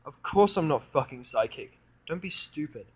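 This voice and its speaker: A man's voice: a little high, expressive, normal speed, fairly bright tone, slightly rough, pitched above the performer's own voice, poor audio. A man playing an irritable, sarcastic, foul-mouthed human in a story reading, blunt and scornful. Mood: irritated.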